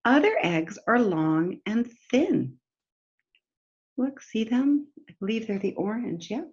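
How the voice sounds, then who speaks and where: A woman reading a picture book aloud to young children like a teacher, pausing to explain simply and ask them questions directly. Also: a little high, sing-song, a little mellow, muffled recording. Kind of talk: read-aloud story time lesson for young children, with explanatory asides.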